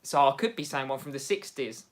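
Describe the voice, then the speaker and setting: A man with an English Midlands accent hosting a casual livestream from home, chatting excitably and laughing often as he answers viewers. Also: medium pitch, brisk. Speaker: livestream host. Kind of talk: casual livestream chat reading viewer comments.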